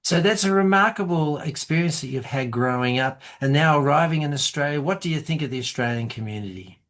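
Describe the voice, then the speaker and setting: A man hosting a podcast interview, gently encouraging his guests with slightly rambling questions. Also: a little high, expressive, brisk. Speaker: podcast host.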